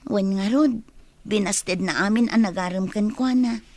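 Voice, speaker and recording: A woman playing an excitable, anxious young village friend in a radio drama, speaking with an Ilocano accent in an exclamatory way. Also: medium pitch, measured pace, no room sound, lightly acted. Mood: subdued, apologetic. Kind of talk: Filipino radio drama acting.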